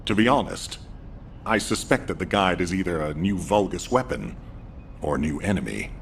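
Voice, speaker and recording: An actor playing a stern, wary middle-aged commander in a video game, measured and authoritative. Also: male voice, low voice, animated, gravelly, slight background noise. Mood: grave, wary. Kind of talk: scripted video game acting.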